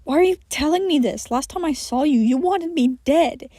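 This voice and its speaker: A woman's voice: high-pitched, normal speed, dark tone, no echo, clean studio sound, pitched above the performer's own voice, natural voice. Woman playing John, wary exasperated man. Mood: frustrated. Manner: stammering, bewildered, irritable.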